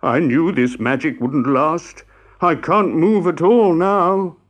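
A narrator doing a big booming wooden elephant. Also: slow. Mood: dismayed.

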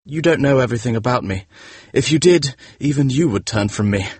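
A guilty, earnest young gentleman, as a man voices him for an audiobook, speaking softly in a raised, hushed register. His pitch moves restlessly, slightly husky, with a dry close sound. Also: animated, no echo. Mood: brooding. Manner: soft, hushed, quick bursts. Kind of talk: audiobook character voice.

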